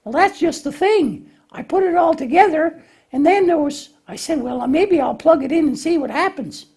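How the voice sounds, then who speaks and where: A man's voice: extremely high pitch, normal speed, a little mellow, pitched above the performer's own voice. A man voicing a naive, eager, bumbling young lamb puppet in a children's show, with a hesitant, folksy, childlike way of explaining. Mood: sheepish.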